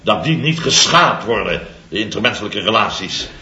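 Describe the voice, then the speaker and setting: A man's voice: on the low side, animated, moderate pace, creaky, bright, forceful tone, heavily acted. An actor playing a pompous, self-important middle-aged construction company boss who chuckles through his own boastful stories, in 1960s radio comedy style. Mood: smug. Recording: dull roomy old radio recording.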